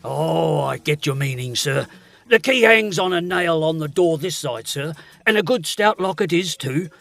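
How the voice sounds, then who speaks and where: An actor playing an obliging, chatty lodging-house keeper, deferential and folksy, in a period gothic audio drama. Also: male voice, fairly high pitch, animated, moderate pace, vocal fry, a bit bright.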